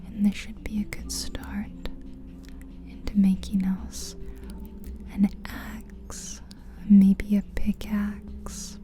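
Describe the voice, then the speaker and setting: A woman whispering gently in a breathy, soothing ASMR style, guiding a relaxing visualization with long unhurried pauses. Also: medium pitch. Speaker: ASMR guide narrating a guided visualization. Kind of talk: soft-spoken ASMR guided visualization. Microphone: close-up ear-to-ear ASMR microphone.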